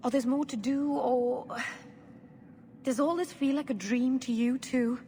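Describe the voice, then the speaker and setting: A woman's voice: sits high, fairly even intonation, a little slow, mellow. A woman playing a dreamy, trusting young woman in a video game, speaking tenderly and a little hesitantly. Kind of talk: dramatic video game cutscene acting. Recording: long reverb, faint ambient noise.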